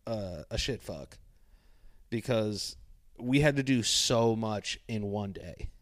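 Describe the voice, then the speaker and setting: A man's voice: on the low side, slow, no echo, studio quality. A young man co-hosting a podcast, telling stories in a rambling, casual way, swearing freely and acting out other people's lines. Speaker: podcast co-host. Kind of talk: casual chat.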